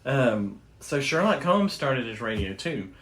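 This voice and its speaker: A man with an average-pitched voice talks as a co-host. He explains things casually, like an informal and enthusiastic storyteller among friends. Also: very animated, a little slow, fairly bright tone. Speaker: podcast co-host.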